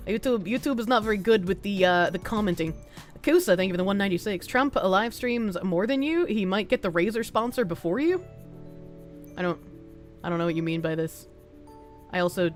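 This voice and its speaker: Frank Boston-accented woman streamer. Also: mid-range voice. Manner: rambling, frank, profane, with frequent restarts. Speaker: livestreamer. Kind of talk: casual live-stream chat reading donations.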